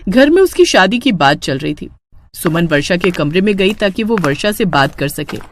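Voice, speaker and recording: A woman's voice: lowish voice, sing-song, normal speed, slight background noise. A woman narrating a scripted family drama story.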